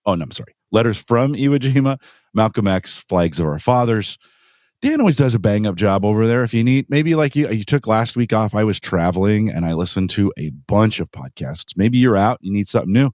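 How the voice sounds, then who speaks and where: A man co-hosting a podcast in casual chat, his voice deepened by a cold. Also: lively intonation, brisk, a little mellow, no echo, studio quality. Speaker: podcast co-host.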